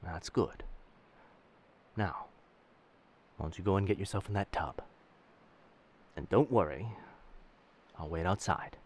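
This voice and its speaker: A man playing a dangerous but gentle pirate captain in an intimate roleplay audio drama, protective and commanding, with old-fashioned seafaring speech. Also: deep voice, slow, long pauses, heavily acted. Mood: concerned.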